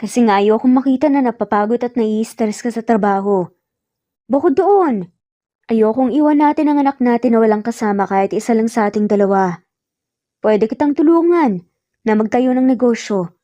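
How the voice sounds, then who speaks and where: Woman acting as young male suitor, romance audio story, earnest, gentle, teasing, soft mellow tone, dry sound. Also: fairly high pitch, moderate pace, no echo, highs cut off, close to an everyday voice. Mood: tender, sincere. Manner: gentle, sincere, code-switching into English.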